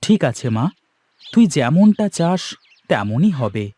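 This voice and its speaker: A man's voice: fairly high pitch, big pitch swings, unhurried, mellow, a little hiss or hum. A man voicing a poor, loving and anxious village father in a cartoon. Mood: concerned, tender. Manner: tender, anxious. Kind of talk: cartoon character dialogue. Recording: music bed behind the voice.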